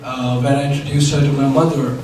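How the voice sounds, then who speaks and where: A man's voice: mid-range voice, flat delivery, brisk, darkish tone, a little hiss or hum. Indian man telling a wry story on stage. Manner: wry, dry punchlines with audience laughter. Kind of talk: live comedic storytelling. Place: live event venue. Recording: echoey hall, mic from far. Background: audience laughter.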